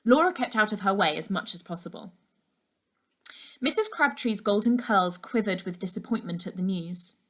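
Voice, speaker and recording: A woman narrating a children's novel aloud as a teacher would to a class, in an expressive storytelling style. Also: mid-range voice, moderate pace.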